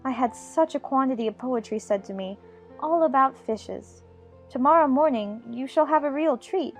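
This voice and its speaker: A woman's voice: high-pitched, moderate pace, faint music behind. A woman playing a chatty, imaginative little girl in an audiobook, fond and bossy, prattling on in playful scolding. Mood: chatty, musing. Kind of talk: dramatized audiobook character acting.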